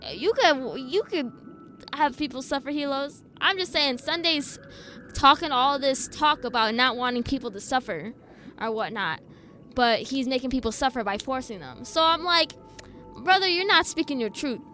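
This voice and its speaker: A woman game streamer with a rather high, very bright voice. She reacts casually and skeptically, talking through her thoughts in informal language. Faint music plays behind her. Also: expressive, normal speed. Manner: informal, skeptical, thinking aloud.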